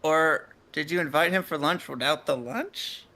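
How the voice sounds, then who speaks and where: A man voicing an aloof schoolgirl from a visual novel with a flat, deadpan manner. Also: fairly high pitch, a little slow. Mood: dry.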